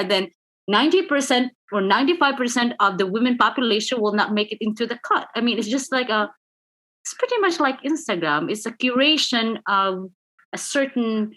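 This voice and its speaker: A young woman from the Philippines, a guest author, speaks English with a Filipino accent. Her voice is bright and forceful, and she gives frank, opinionated takes in casual chat, often laughing at her own jokes. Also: a little high, expressive, normal speed, poor audio. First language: Filipino language.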